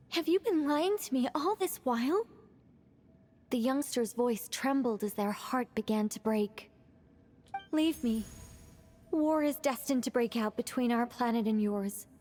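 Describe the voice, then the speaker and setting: High-voiced, theatrical storytelling mage. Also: female voice, unhurried, pauses often, a little mellow. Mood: dramatic. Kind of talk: video game voice acting, dramatic narration.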